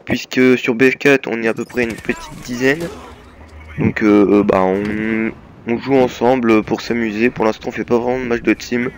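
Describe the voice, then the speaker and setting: A man's voice: mid-range voice, a little hiss or hum. A young man with a slight cold, giving a hesitant, filler-heavy voice-over that presents his gaming team. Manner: hesitant, many fillers and restarts. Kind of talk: casual voice-over over gameplay.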